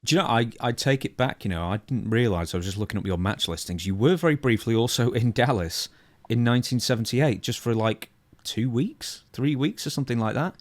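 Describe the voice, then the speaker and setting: A man's voice: medium pitch, lively intonation, a little fast. A man co-hosting a casual interview, asking questions in a conversational, self-correcting way. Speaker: podcast co-host asking questions.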